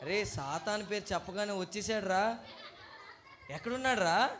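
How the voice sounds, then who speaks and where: A man's voice: high voice, moderate pace. A man hosting a church children's puppet show, exclamatory and calling out questions to the children. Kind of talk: lively talk with children and a puppet. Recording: noisy live event with crowd. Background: children's crowd and loud noise.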